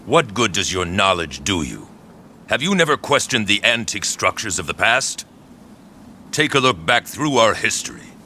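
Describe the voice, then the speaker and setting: A man's voice: on the low side, big pitch swings, normal speed, bright, forceful tone, a little hiss or hum, full character voice. A man playing a commanding, visionary warlord of ancient China in a video game, with an assured, grand and probing delivery. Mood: grand, persuasive. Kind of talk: dramatic video game cutscene acting.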